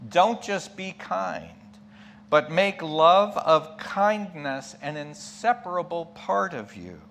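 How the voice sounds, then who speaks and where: Lay preacher, male, slightly high voice, very slow delivery, emphatic and thoughtful; humming, noisy recording. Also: lively intonation, a bit bright. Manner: reflective, emphatic, rhetorical questions and asides. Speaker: lay preacher giving a sermon. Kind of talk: sermon. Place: church pulpit. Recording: clear background hum and noise.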